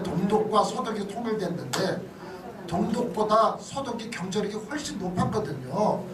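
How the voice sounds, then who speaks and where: A middle-aged man, a pastor, giving a conversational sermon-like lecture, often checking in with his listeners. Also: high-pitched. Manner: conversational, punctuated with short checking questions to listeners. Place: church hall. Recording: distant mic in echoey room, noisy.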